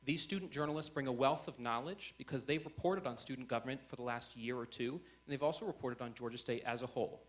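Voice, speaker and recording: A man's voice: mid-range voice, fast, hardly any pauses. Young man hosting a formal debate. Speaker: host of a student election debate. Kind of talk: formal speech introducing a debate. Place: on stage before a live audience. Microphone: event microphone.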